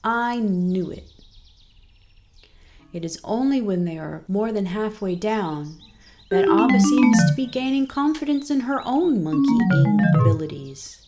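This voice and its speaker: A woman reading a scripted children's story as narrator, in an expressive, deliberate storytelling manner. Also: medium pitch, over music.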